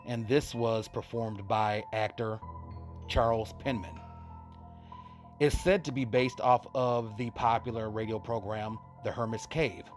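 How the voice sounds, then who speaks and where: A man narrating a scripted introduction as host of an old-time radio horror show. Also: mid-range voice. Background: music under the voice.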